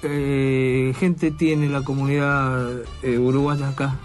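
A man's voice: mid-range voice, little pitch movement, takes it slowly, soft background music. A man hosting a radio show, asking questions with many hesitations and fillers. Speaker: radio host. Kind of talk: radio interview.

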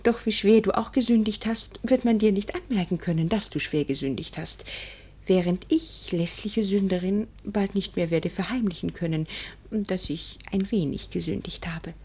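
An actress playing a coquettish, tender young countess in a 1940s German radio play, cooing and often whispering. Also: female voice, medium pitch, moderate pace, warm tone. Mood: mock solemn. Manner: breathy, whispering, cooing endearments.

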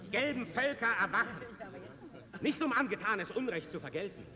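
An actor playing an impassioned, solemn revolutionary orator in a radio drama, declaiming a political speech. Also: male voice, high voice, big pitch swings, normal speed. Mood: fervent, declamatory. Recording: long hall reverb, crowd behind.

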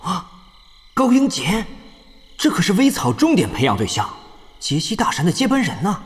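An excitable, star-struck guild officer. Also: male voice, sits high, moderate pace, long reverb tail. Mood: excited. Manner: flustered, stammering.

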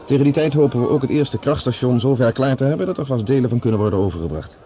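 An actor playing a friendly, thoughtful scientist on a Mars project in a Dutch science fiction radio drama. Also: male voice, mid-range voice, lively intonation, fast talker, warm tone, slight background noise, lightly acted. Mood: explaining, businesslike.

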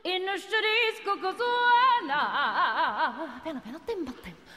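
An actress playing a fussy amateur singer who counts time and speaks in a drawn-out sing-song on stage. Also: female voice, very high voice, bright, forceful tone, full character voice. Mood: fussy, rhythmic. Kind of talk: theatrical comic monologue with singing. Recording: reverberant theatre hall, music and audience.

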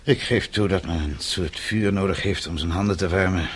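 A male actor plays an elderly, protective father in a radio play. His voice is low, and he speaks gravely and haltingly, like a principled man deeply wounded. A faint noise sits behind him. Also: lightly acted. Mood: hurt, reproachful. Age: older. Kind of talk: radio drama acting.

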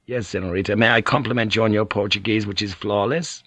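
Wary ship pilot, read by a male narrator, careful and deferential, lowish voice, dry sound. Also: fairly even intonation, quick pace, a bit bright, breathy edge, dry recording.

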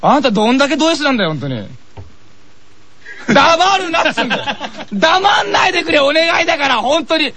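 High-pitched, excitable man bantering in comedy. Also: brisk, long pauses, a crowd in the background. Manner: exasperated straight man, often shouting complaints. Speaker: voice actor and radio comedy co-host, the junior partner. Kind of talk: comic banter, retorts.

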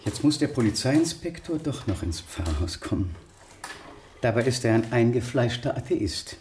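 An actor playing a flustered middle-aged village vicar in a German radio play, formal and agitated, turning stern under pressure. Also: male voice, medium pitch, big pitch swings, normal speed, a little mellow, reverberant, a little hiss or hum, natural voice. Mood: troubled.

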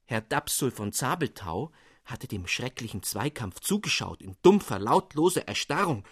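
A man reading a fairy tale aloud in an expressive, measured narration. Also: medium pitch, slightly rough, no echo, studio quality. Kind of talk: storybook reading.

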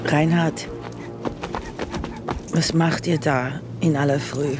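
A woman's voice: low-pitched, fairly even intonation, slow pace, over music. An actress playing a stern, formal, commanding woman who believes in conspiracies, with an Austrian accent, in a radio drama. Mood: stern, accusing. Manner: stern, formal, earnest, imperious. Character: commanding, conspiracy-believing wife leading a separatist group.

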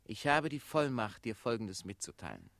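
A smug, bright-voiced scheming official. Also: male voice, medium pitch, very animated, normal speed, vocal fry, no echo. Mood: smug, coaxing. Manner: commanding, self-important. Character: smug, calculating, domineering official, the mayor's son. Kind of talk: radio play acting.

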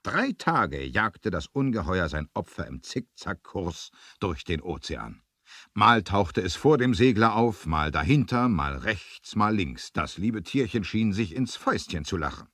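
A male narrator with a very low, husky voice tells a scripted adventure story. His delivery is lively and suspenseful, with pitch that moves a lot, in classic radio play style. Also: dry recording, clean studio sound.

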